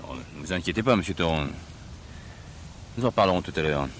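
An actor playing a methodical, polite but firm police inspector in a French radio drama, speaking formally. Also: male voice, deep voice, animated, brisk. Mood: firm, probing. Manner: formal, probing. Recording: slightly noisy old radio drama recording.

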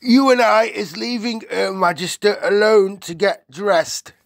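A storybook reader voicing a friendly, childlike giant who speaks in effusive, halting, ungrammatical bursts. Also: medium pitch, fairly even intonation, gravelly, no room sound, pitched above the performer's own voice. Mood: earnest. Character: friendly giant, eager, reverent, childlike, muddling his words.

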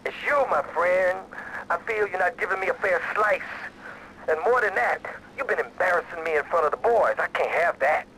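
A man's voice: sits high, expressive, normal speed, sharp tone, slight background noise, full character voice, poor audio. A man voicing a volatile, resentful criminal partner in a video game, whiny and emotional.

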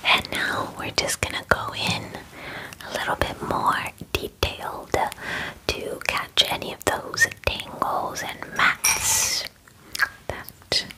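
A woman in her twenties speaking in a soft, breathy whisper close to the mic, gently and soothingly playing an attentive spa groomer in an ASMR roleplay, often repeating words. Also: slow pace, rough recording. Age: 20s. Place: small dim room, indoors. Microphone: very close microphone, facing camera.

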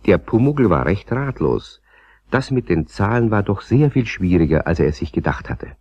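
A man's voice: on the low side, very animated, normal speed, a little mellow, breathy edge, dry recording, exaggerated voice. A man narrating a children's radio play as its storyteller, in scripted storybook style. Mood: calm storytelling. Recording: dull old cassette recording.

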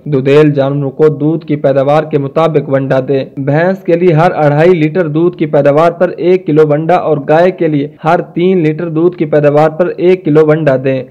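Mellow Pakistani man, scripted instructional narration. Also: on the high side. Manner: formal, instructive. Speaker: narrator of farming advice. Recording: dull, muffled lo-fi voice-over.

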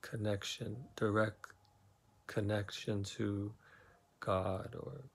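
Male meditation guide, very slow delivery with long pauses, lowish pitch, soothing. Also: flat delivery, vocal fry, breathy edge.

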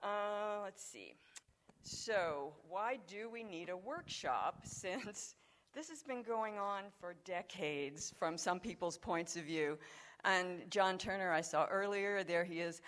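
An older woman giving a conference talk from a podium in a large hall, speaking into a handheld microphone in a measured, conversational way. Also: on the low side, expressive, fairly bright tone. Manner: measured, conversational lecturing with occasional fillers.

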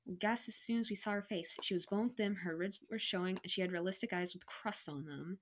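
A woman's voice: medium pitch, fairly quick. A young adult woman casually reading her own story aloud with self-mocking, incredulous commentary. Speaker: online reader of own stories.

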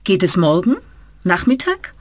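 A woman's voice: lowish voice, very animated, no echo. A woman playing a friendly travel agency employee answering the phone in a scripted textbook dialogue. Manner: brisk, friendly phone manner. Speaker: office employee.

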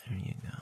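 A man doing intimate ASMR roleplay into a close binaural microphone, murmuring in a husky, breathy, often whispered voice with a slow, teasing, dominant manner. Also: dark tone. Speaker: ASMR audio performer.

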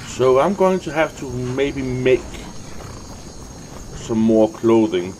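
Male let's play host, unhurried and muttering, casual commentary, light background game noise. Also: medium pitch, expressive, darkish tone. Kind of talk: casual gameplay commentary, thinking aloud.

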